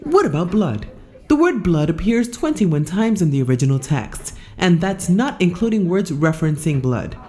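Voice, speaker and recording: An exact, composed aide, played by a woman in an audio drama. The voice is a little low but varied in pitch, giving information in formal, matter-of-fact sentences. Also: sing-song, moderate pace, dark tone. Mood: factual. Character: precise, factual, unflappable circus aide named Raven. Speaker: voice actor. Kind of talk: scripted audio drama acting.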